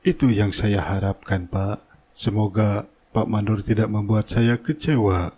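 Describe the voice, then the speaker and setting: A man's voice: fairly low pitch, fairly even intonation, unhurried, mellow, a little hiss or hum, natural voice. Man voicing a courteous, commanding wealthy father. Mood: formal, firm. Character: courteous, authoritative wealthy building owner and father. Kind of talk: cartoon drama dubbing.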